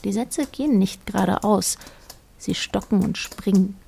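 A woman narrating a literary radio play in a measured, precise manner. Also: medium pitch, vocal fry, mellow, slightly rough, full character voice.

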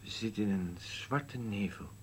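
A man's voice: fairly low pitch, slight background noise, close to an everyday voice. A man playing a bleak, stubborn old husband in an absurdist radio play, answering tersely and with resignation. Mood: resigned, grim. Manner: terse, flat denials, slow resigned answers.

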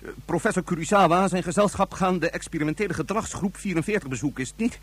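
Husky, stammering, frightened agent. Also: male voice, high voice, quick pace. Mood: nervous, reluctant. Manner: stammering, jittery, pleading. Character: frightened, reluctant male secret agent, easily flustered. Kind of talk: radio drama acting.

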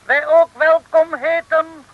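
An actor playing a pompous island ruler with a mock Chinese accent, declaiming grandly in a 1950s Dutch radio serial. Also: male voice, very high-pitched, level tone, takes it slowly, narrow, tinny audio. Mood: pompous, commanding. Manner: grandiose, declamatory pidgin speech.

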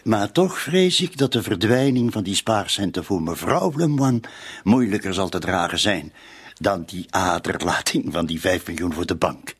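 Police inspector, radio drama. Patient prober, hesitant lead-ins, animated melody, somewhat husky. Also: male voice, sits low, moderate pace, exaggerated voice. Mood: polite, probing. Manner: hesitant, repeated ja-ja interjections, tactful probing. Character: probing, patient male police inspector, gently insistent. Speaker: actor in a radio play. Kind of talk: radio drama acting, questioning dialogue.

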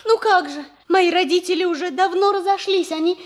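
An actress playing an excitable lovestruck young noblewoman in a 1960s radio comedy, breathless and gasping. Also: very high voice, fairly quick. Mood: breathless romantic. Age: young adult. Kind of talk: 1960s radio theatre acting.

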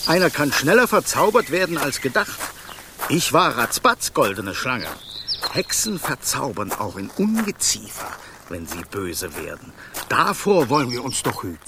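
An actor playing a prim, pedantic golden snake in a radio play, wary and full of advice. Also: male voice, mid-range voice, very animated, moderate pace, noisy background. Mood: prim, cautioning. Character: fussy, wary enchanted golden snake, loyal adviser. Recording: clear birdsong and clicks behind. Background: chirping birds in a park.

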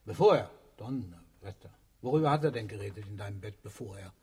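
An actor playing a sardonic, commanding party leader in a radio drama, moving between barked orders and dry muttered remarks. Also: male voice, on the low side, lively intonation, a little fast, warm tone, breathy edge.

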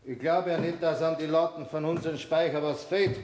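A man's voice: on the high side, fairly even intonation, fairly quick, a little mellow, natural voice. Puzzled neighbor played by a man, echoey. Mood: obliging. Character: puzzled, obliging male neighbor. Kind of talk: German children's radio play acting.

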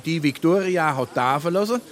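A man's voice: a little high, expressive, normal speed, close to an everyday voice. An actor playing a self-satisfied, lecturing father obsessed with newspapers, in Darmstadt dialect comedy. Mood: pompous lecturing. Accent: Darmstadt Hessian. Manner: pompous, lecturing. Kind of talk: dialect stage comedy acting.

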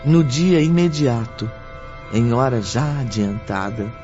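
A man narrating a radio drama as a solemn storyteller, with measured, literary delivery. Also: mid-range voice, expressive, warm tone. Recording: dull audio with music bed.

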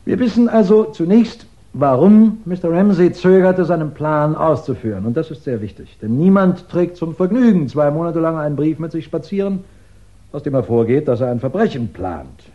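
A man's voice: fairly high pitch, lively intonation, lo-fi sound, natural voice. An actor playing a methodical, courteous police investigator who lays out his case insistently in a 1960s German radio crime drama. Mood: methodical, assured. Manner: measured, insistent, explanatory, courteous.

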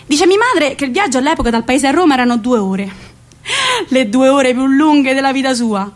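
Casting: woman, high voice, Roman accent, expressive solo stage narrator, faint music. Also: normal speed. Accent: central Italian, Roman. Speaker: stage actress narrating a monologue. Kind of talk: theatrical storytelling, solo radio play.

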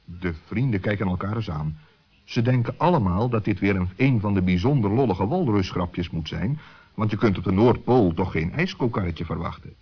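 A man's voice: on the low side, animated, normal speed, husky. A man narrating a scripted children's story as the storyteller. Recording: muffled old sixties recording.

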